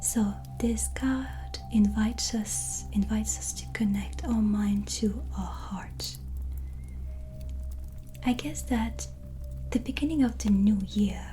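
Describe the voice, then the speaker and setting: Casting: non-native English-speaking woman, breathy soft-spoken ASMR, gentle witch tarot reader, mellow and unhurried. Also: medium pitch. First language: not English. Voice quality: breathy, whispered. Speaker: ASMR roleplayer giving a tarot reading. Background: soft relaxing music.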